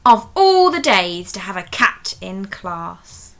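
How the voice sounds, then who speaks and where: A woman's voice: mid-range voice, sing-song, takes it slowly. A woman voicing a cross, exasperated witch schoolteacher in a storybook, with stern, emphatic delivery.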